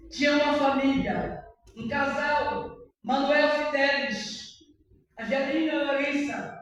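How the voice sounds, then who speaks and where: A woman from Salvador with a Bahian accent, preaching as a guest speaker and slipping into sung phrases and shouts. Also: sits high. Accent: Bahian Brazilian Portuguese. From Salvador, Bahia, Brazil. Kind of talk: sermon. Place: church. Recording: echoey church hall, voice from far off.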